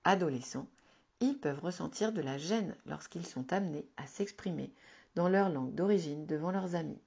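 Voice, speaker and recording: A woman narrating a written advice article in a calm, measured, instructive reading. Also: fairly low pitch, vocal fry, husky. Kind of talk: scripted informative narration.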